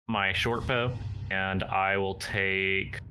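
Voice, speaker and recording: Low-voiced man playing a tabletop game. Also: pushed and bright, clean tone. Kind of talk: casual game chat with rules questions. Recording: music behind, online voice call. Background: music.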